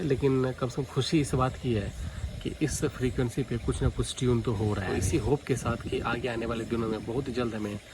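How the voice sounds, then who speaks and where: A man with an Indian accent explains things casually to viewers in a vlog, often restating his points. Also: mid-range voice. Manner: explanatory, repeats points, addresses viewers directly. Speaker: vlogger, shortwave radio hobbyist. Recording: clearly noisy home recording, radio static.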